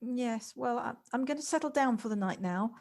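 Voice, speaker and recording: Higher-voiced, polite, hesitant city woman played by an actress. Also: normal speed, darkish tone, lightly acted. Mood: fond, hesitant. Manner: hesitant, formal, wordy. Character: anxious, polite London woman newly arrived in the countryside. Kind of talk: audio play acting. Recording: home recording on personal device, noisy.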